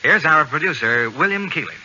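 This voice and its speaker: Man, radio announcer, scripted sales patter, lively intonation, 1940s, muffled with faint hiss. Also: fairly high pitch, brisk.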